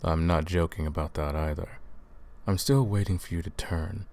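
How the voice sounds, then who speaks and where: An extremely deep male voice with a gravelly creak, a man acting as an ancient vampire who hunts other vampires. He is brooding, menacing and world-weary, speaking in measured phrases as if to one listener in an ASMR audio roleplay. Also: fairly even intonation, darkish tone. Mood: cold, threatening. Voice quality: low, gravelly.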